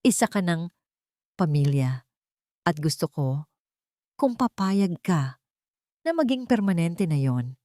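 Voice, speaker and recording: A woman's voice: lowish voice, big pitch swings, takes it slowly, pauses often, mellow, no room sound, studio quality. A woman voicing a tender, remorseful billionaire father in a romantic audio drama. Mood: earnest, tender.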